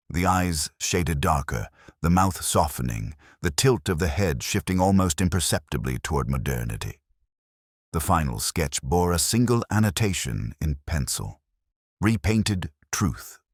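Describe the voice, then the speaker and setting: A man with a very deep voice narrates a literary mystery. His delivery is measured and melancholic, yet it rises and falls widely in pitch. The sound is close and dry, with no room around it. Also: breathy edge, dry recording. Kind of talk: scripted first-person mystery narration.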